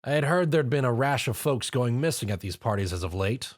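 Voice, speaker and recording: A gruff, irritated older police mare from a storybook reading, voiced by a man. She speaks curtly, with grunts. The voice stays close to an ordinary male pitch. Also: lively intonation, a little fast, lightly acted. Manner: grunting, curt.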